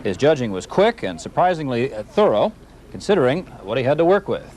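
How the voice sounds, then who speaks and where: A man's voice: medium pitch, sing-song, a little fast, a crowd in the background. A man with an American accent anchoring a local newscast, reading scripted stories in a wry manner. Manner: wry, wordplay-laden. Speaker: TV news anchor. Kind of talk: scripted local news narration.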